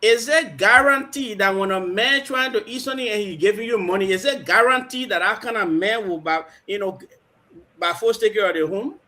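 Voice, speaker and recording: Hesitant high-pitched man co-host. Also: sharp tone. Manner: halting, restarts questions. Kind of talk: call-in radio talk.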